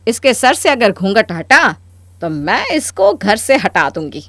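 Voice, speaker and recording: A woman voicing a spiteful, scheming middle-aged mother-in-law in a cartoon story, with a sharp, taunting, bossy delivery. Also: medium pitch, very animated, unhurried, no echo. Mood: spiteful, stubborn. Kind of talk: Hindi cartoon story dialogue.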